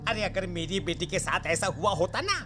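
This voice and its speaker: A woman's voice: on the low side, animated, moderate pace, over music, close to an everyday voice. A woman voicing a scornful, gossiping village man with a sneering, judgmental manner in a story.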